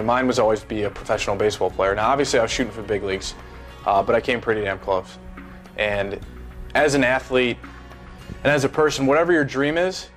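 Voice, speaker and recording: A young man in his twenties, a former pro baseball player, answering interview questions in a high-rise office, halting with many fillers and restarts. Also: a bit bright. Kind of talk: seated interview, casual recollection.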